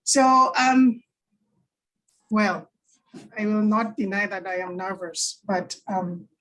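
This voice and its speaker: A woman's voice: mid-range voice, slow, fairly bright tone, rough recording. A young woman from Kenya, giving a story-driven talk as guest speaker at a virtual session, chatty with frequent ums and light laughs.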